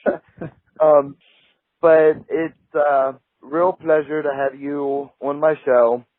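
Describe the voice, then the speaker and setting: A man's voice: fairly high pitch, fairly even intonation, slow pace, dry recording. Man, radio show host, friendly, enthusiastic, tinny line, dry sound. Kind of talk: casual interview chat.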